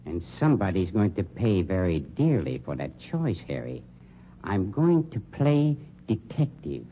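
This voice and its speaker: An actor playing a suave, menacing funeral director turned sleuth, with an American accent and formal, pompous, insinuating speech in old-time radio drama style. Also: male voice, low-pitched, big pitch swings, measured pace. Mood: grimly determined. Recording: dull, noisy old radio recording.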